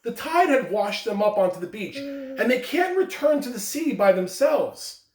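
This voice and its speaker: A man's voice: very high-pitched, big pitch swings, moderate pace, crowd noise, voice pushed up. A man voicing a small, earnest boy in a storybook parable, speaking simply. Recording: echoey room, distant microphone.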